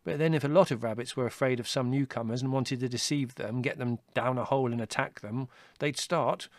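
A man's voice: mid-range voice, fairly quick, no echo, studio quality, lightly acted. A man reading a storybook, voicing a clever young male rabbit who reasons things through. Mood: reasoning.

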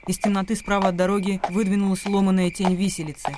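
A man's voice: sits high, flat delivery, fast talker, background noise, lightly acted. A man reading scripted literary narration as the narrator of a radio drama.

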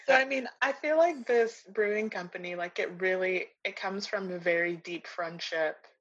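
A woman's voice: medium pitch, moderate pace, fairly bright tone, slightly rough. A woman hosting a podcast, chatting in a friendly, easy way. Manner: friendly, chatty, uses y'all. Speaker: podcast host. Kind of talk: casual interview chat. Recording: dull lo-fi sound.

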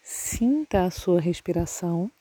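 A woman with a Brazilian Portuguese accent leads a guided yoga nidra meditation, giving calm, soothing instructions with long pauses. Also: fairly low pitch, slightly rough, no echo.

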